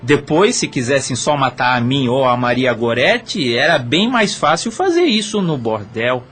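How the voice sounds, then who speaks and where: A man's voice: mid-range voice, very animated, moderate pace, fairly bright tone, breathy edge. A man playing a murdered brothel owner and killer who confesses matter-of-factly in an audio drama. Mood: explaining. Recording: faint background noise.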